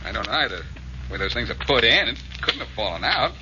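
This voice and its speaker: Wisecracking 1940s radio sleuth, low-voiced. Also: male voice, big pitch swings, a little fast, background noise. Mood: probing. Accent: American. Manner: glib, teasing, wry. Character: cool wisecracking male amateur sleuth, glib and unflappable. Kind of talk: 1940s radio drama acting. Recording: muffled 1940s radio recording with music.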